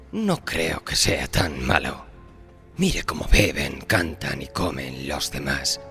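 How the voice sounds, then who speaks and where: An actor playing a sly, calmly defiant man who bargained with the devil, in a horror audio drama. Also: a bass voice, full character voice. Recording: faint music behind the voice.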